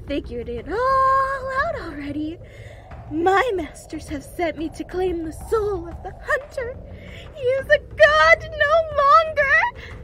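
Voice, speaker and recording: A playful yet menacing Fae hound executioner speaks very high and slowly, swinging widely in pitch. She mocks and growls with theatrical relish in this fantasy audio drama. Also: sing-song, background noise, heavily acted. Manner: mocking, theatrical, growling threats.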